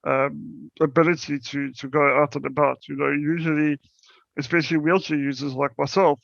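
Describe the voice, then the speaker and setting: A young South African man presents at a conference, stopping and restarting often with plenty of ums. His voice is gravelly and fairly high, held in a flat, even tone. The recording is dry and a bit muffled. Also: no room sound. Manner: halting, many fillers and restarts. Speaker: startup presenter.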